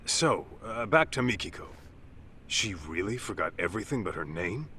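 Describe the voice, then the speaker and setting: A man's voice: lowish voice, big pitch swings, normal speed, bright, forceful tone, slight background noise. An actor playing a blunt, street-smart male detective who questions people casually and skeptically in a video game drama. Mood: wry, probing. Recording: music behind the voice.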